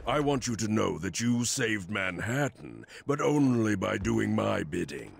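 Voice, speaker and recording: An actor playing a huge, smug crime boss who talks slowly and condescendingly, with a deep gravelly voice. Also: male voice, big pitch swings, full character voice. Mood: smug, menacing. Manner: slow, measured, condescending. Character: menacing crime boss, huge bald man, calm and smug. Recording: game cutscene with loud music.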